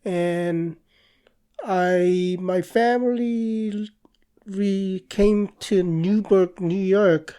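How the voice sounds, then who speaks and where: A middle-aged man from South Korea with a Korean accent, answering interview questions in a halting way, with pauses. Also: high-pitched, fairly even intonation, dark tone, smooth. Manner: slow, halting, with pauses and restarts. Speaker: guest artist and professor.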